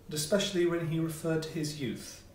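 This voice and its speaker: A man reading a classic ghost story as scripted narration, with measured, theatrical phrasing and pauses. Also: a little high, steady tone. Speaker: audiobook reader, amateur player. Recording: roomy echo, distant mic, faint noise.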